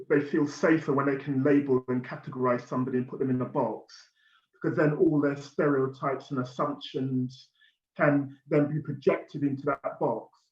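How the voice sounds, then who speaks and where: A panelist from the United Kingdom speaks earnestly at a mid pitch, repeating words when he presses a point. The sound is roomy and a little dull. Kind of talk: panel discussion. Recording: echoey, muffled room sound.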